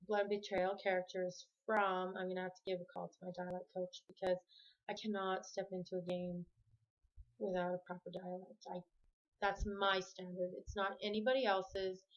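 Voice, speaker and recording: A woman's voice: mid-range voice, lo-fi sound. A woman from Canada talking casually to camera at home, rambling and often correcting herself. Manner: rambling, self-correcting, chatty. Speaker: vlogger. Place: home room with the TV on.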